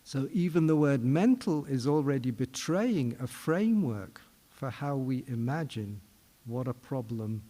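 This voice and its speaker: A middle-aged man from England giving a measured public lecture as a psychiatrist, posing questions and restarting phrases as he explains. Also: mid-range voice, mellow, in a large hall. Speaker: psychiatrist giving a forum talk. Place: conference hall.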